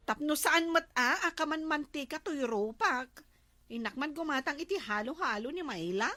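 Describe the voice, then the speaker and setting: A woman playing a very high-pitched, sociable village wife. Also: lively intonation, normal speed, bright, forceful tone, dry recording. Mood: chatty. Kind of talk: Ilocano barangay comedy drama acting.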